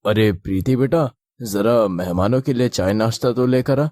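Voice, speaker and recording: Man acting expressive family man, dry sound. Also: on the low side, moderate pace, no room sound, close to an everyday voice. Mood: welcoming. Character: men of a family tale: father, groom, priest. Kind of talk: animated moral-story dialogue.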